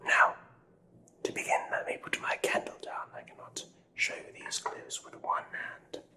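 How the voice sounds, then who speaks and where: A man's voice: a little slow, bright, forceful tone. A man playing a nervous, courteous doctor in a whispered ASMR mystery roleplay, speaking in formal, hesitant phrases. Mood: earnest, curious. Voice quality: breathy whisper. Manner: formal, hesitant, old-fashioned polite phrasing. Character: nervous, courteous, perplexed doctor aiding a murder investigation.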